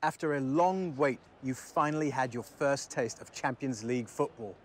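A man's voice: medium pitch, normal speed, slight background noise, natural voice. A man playing a courteous, probing TV sports interviewer in a post-match game cutscene.

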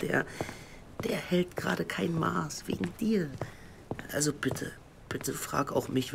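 An actor playing a worried, cautious investigator in an audio drama, speaking frankly and haltingly. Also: male voice, mid-range voice. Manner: halting, earnest. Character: worried, cautious male investigative team member, frank and urgent. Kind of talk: naturalistic German audio drama acting. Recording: clearly noisy background.

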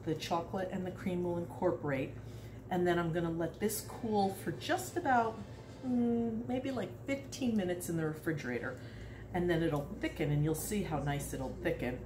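A woman's voice: lowish voice, normal speed. A woman in her sixties hosting a casual home cooking demonstration in her kitchen, chatty and rambling, laughing often, recorded on a nearby phone. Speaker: home cooking video host. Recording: echoey kitchen with background noise.

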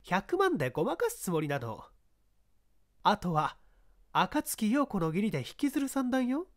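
Sharp, pushy female scout acted by a woman narrator, needling and calculating, very expressive intonation, radio story. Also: mid-range voice, moderate pace, natural voice. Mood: pressing, scornful. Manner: insistent, needling, feminine old-fashioned phrasing.

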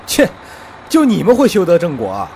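A man's voice: sits high, huge pitch swings, normal speed, darkish tone, a little hiss or hum, exaggerated voice. A man in an amateur audio drama playing a cheeky, playful man who dotes on his younger boyfriend. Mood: playful teasing. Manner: playful, teasing.

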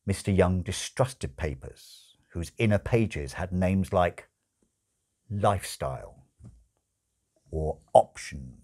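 A man in his sixties reads a comic novel aloud expressively, with dramatic, wryly emphatic phrasing and deliberate pauses, recorded in a room at home. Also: deep voice, lively intonation, a little mellow. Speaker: audiobook-style reader. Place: small furnished room at home, indoors.

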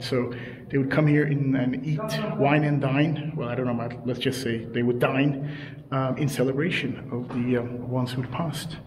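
A man's voice: medium pitch, background noise. A man in his thirties casually narrating a travel vlog outdoors, speaking to a camera held at arm's length, chatty and hesitant with many fillers. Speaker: travel vlogger. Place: outdoors at desert ruins and rock canyon. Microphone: handheld camera at arm's length. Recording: music playing over it.

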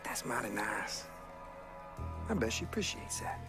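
A man's voice: medium pitch, big pitch swings, measured pace. A man playing a smug, menacing Southern US sheriff in a video game, drawling with false friendliness. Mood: mock friendly menace. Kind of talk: video game cutscene acting. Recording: music behind the voice.

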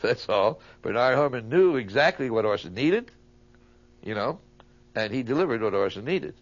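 A man's voice: medium pitch, very animated, normal speed. A man sharing anecdotes conversationally as an interviewee, with emphatic phrasing. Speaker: interviewee recalling colleagues. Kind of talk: animated anecdotal reminiscence. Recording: dull, muffled interview recording.

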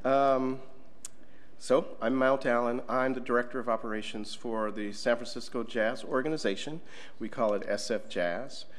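A man's voice: mid-range voice, normal speed. A middle-aged man giving a conference presentation in a casual, conversational way, with fillers, at a table microphone in a conference room. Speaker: conference speaker.

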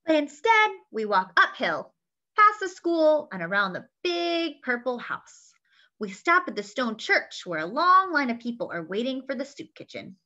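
Young woman, high-pitched, very lively intonation, children's read-aloud, expressive storytelling, slightly dull lo-fi webcam recording. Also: unhurried. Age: young adult. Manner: animated, sing-song storytelling with expressive character lines. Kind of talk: read-aloud of a picture book with asides to young listeners. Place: small indoor room at home.

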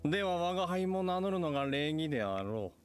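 A man's voice: a little high, very animated, brisk. A man playing a pompous fake immortal sage in a theatrical anime game style, with grand archaic phrasing. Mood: grandiose. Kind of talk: Japanese anime game acting.

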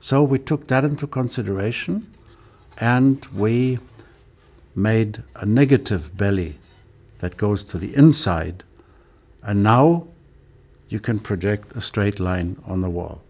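An older man with a lowish voice walks through a piece of lighting gear in an unhurried, measured way. He shows each part with his hands as he goes. It was recorded in a studio with off-camera sound. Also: a little mellow. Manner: demonstrates with his hands while explaining. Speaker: lighting product designer. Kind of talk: explaining a product on camera.

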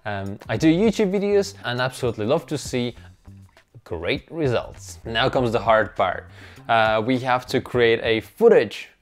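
A man's voice: mid-range voice, lively intonation, a little slow, faint music behind. A young man with a Russian accent, a native Russian speaker, narrating his own vlog in a casual, upbeat and self-mocking way, recorded on a lapel mic in a small bedroom. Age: young adult. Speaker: vlogger. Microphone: clip-on lapel mic.

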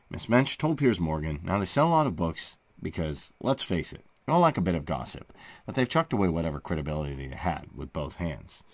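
A man with a deep voice narrates news like a chatty channel host. His pitch swings widely for emphasis, and the close-miked recording is dry and free of room sound. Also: no room sound. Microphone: close voice-over microphone.

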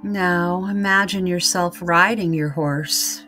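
Female meditation guide, slightly low voice, very unhurried scripted read, soothing and calm. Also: faint music behind.

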